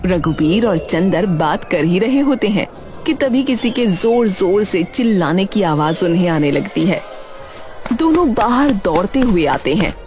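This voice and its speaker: A woman's voice: medium pitch, animated, a little slow. A woman reading scripted story narration as the narrator of a tale. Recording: clear music bed behind narration. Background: music.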